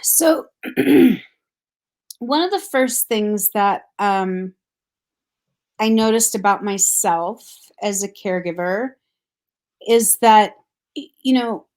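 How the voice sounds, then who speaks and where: A middle-aged woman, a grief counselor, speaking thoughtfully and earnestly in a relaxed conversation, with a soft laugh now and then. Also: mid-range voice, takes it slowly, long pauses. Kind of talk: reflective casual conversation.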